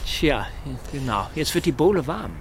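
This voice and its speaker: A man playing a wordy, excitable club colleague in a radio play, insistent and a little mock-pompous. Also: a little high, normal speed, creaky, a little hiss or hum. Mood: uneasy.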